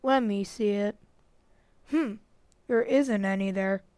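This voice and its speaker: A woman's voice: mid-range voice, slow pace, a little mellow, clean tone, dry recording, lightly acted. A woman playing a bossy, know-it-all older boy in an old-time radio family comedy. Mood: superior, matter-of-fact. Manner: superior, matter-of-fact.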